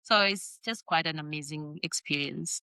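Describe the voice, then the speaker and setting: Tanzanian-born young woman on a podcast, bright smooth voice, chatty, speeds up a lot. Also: sits low, no room sound. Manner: lively, chatty, fast bursts of talk. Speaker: podcast co-host. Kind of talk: casual podcast chat.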